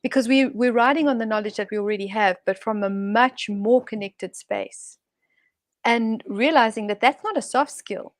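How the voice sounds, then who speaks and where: A woman's voice: fairly high pitch, moderate pace, dry recording, studio quality. A woman guest on an online talk show, speaking in a thoughtful, expansive way with frequent restarts. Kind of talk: reflective online conversation. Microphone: video call.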